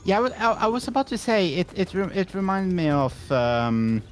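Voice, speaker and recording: A man's voice: high-pitched, very animated, moderate pace, slight background noise. A man, chatting casually on a game stream with many fillers and restarts. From Norway. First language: Norwegian.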